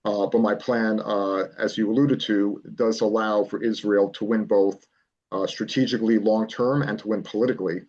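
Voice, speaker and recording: A man as a political consultant guest, explaining a plan in measured speech with frequent pauses. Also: lowish voice, fairly even intonation, darkish tone, muffled recording. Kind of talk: remote interview, explaining a plan.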